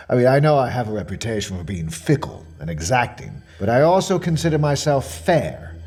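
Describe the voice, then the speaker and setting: An actor playing a fussy, self-important mystery shopper with quiet menace, delivering a precise, deliberate monologue in the form of a report. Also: male voice, mid-range voice, big pitch swings, slightly rough, soft background music. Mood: self-justifying. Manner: pompous, precise, deliberate, dwelling on words. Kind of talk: dramatic monologue as a spoken questionnaire report.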